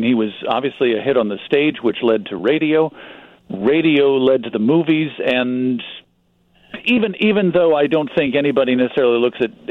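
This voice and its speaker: An American man giving conversational expert commentary as a radio guest, thoughtful and halting, often repeating words as he goes. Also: medium pitch, no echo. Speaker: radio guest, magazine publisher. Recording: dull band-limited radio line.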